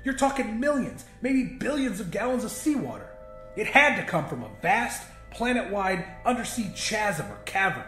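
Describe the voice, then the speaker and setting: A man's voice: high-pitched, big pitch swings, normal speed, a bit bright, roomy sound, faint music behind, voice pushed up. A man voicing a stubborn, scheming young man who speaks earnestly and urgently in a narrated story.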